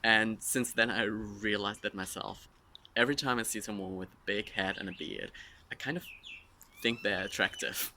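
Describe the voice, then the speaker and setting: A young man in his twenties with a German accent chats candidly through a follower Q&A, joking at his own expense. His voice sits fairly low yet sounds bright and forceful. A faint hiss runs under the recording. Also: steady tone, moderate pace. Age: 20s. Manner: frank, self-mocking, rambling with fillers. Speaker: vlogger answering follower questions. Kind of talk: casual Q&A monologue.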